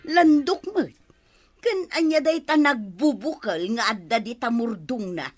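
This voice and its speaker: A woman's voice: fairly high pitch, animated, a little slow, soft background music. A woman playing a sceptical, sarcastic village wife in an Ilocano radio comedy, mocking and questioning, with an Ilocano accent. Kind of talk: Philippine radio comedy drama acting.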